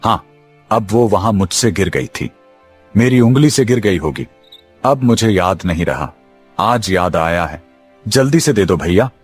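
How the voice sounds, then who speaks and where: A man playing a greedy, excitable younger brother in a village cartoon story, talking in a hurried, agitated way. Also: on the low side, husky, soft background music. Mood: defensive. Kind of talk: Hindi cartoon drama acting.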